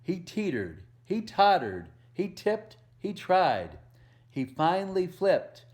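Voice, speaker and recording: A man's voice: high voice, wildly swooping pitch, takes it slowly, darkish tone. A man reading a picture book aloud to preschoolers as a volunteer storyteller, stressing the rhymes and adding simple asides and questions for the young listeners. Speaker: volunteer children's story reader.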